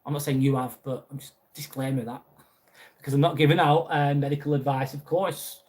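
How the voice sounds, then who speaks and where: A man's voice: medium pitch, fairly even intonation, fairly quick, a little mellow. A young man with a Lancashire accent hosting a casual live stream, reading out viewer comments and chatting back. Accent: Lancashire English. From northwest England. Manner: chatty, reads out viewer messages and answers them.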